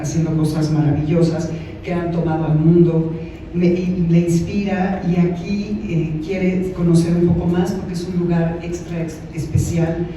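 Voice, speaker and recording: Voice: medium pitch, warm tone. Interpreter at a noisy, echoey press conference. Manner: quick, functional relaying with hesitations. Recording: echoey room from far off, noisy.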